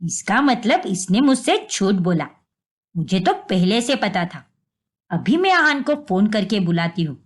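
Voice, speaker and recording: महिला की आवाज़ में कड़क, डाँटने वाली बुज़ुर्ग सास. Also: female voice, medium pitch, big pitch swings, a little slow, voice pushed down. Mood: suspicious. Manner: bossy, sharp-tongued, scolding. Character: scolding older mother-in-law, stern and suspicious.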